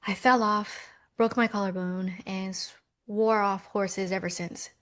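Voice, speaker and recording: An actress playing a nervous, guarded young American woman, hesitant and halting, in a romcom table read. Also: mid-range voice, steady tone, a bit bright.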